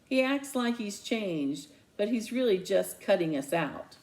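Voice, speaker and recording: A man's voice: sits high, lively intonation, unhurried, darkish tone. A woman voicing a bitter, complaining schoolboy sidekick in dialogue from a children's novel.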